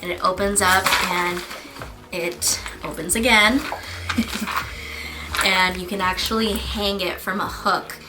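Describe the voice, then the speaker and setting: A woman esthetician hosting a casual skincare vlog, her voice a little raspy from a lingering cough, chatting through her routine with fillers. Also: mid-range voice, steady tone, takes it slowly, long pauses, loud music behind the voice, low-quality recording. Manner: chatty, explaining step by step with fillers. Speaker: licensed esthetician and beauty channel host. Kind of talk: casual product-review vlog.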